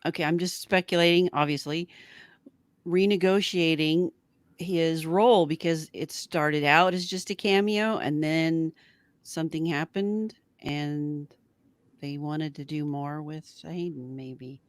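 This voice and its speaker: A woman's voice: deep voice, steady tone, measured pace, dry recording. A woman co-hosting a casual live-stream chat, musing aloud and often trailing off as she speculates. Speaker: podcast co-host.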